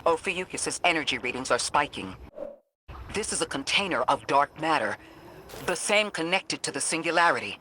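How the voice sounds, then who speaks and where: An actor playing a skeptical expert adviser in a sci-fi game, speaking precisely and formally. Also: male voice, fairly high pitch, expressive, moderate pace, bright, forceful tone, a little hiss or hum. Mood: measured, concerned. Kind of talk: acted game dialogue.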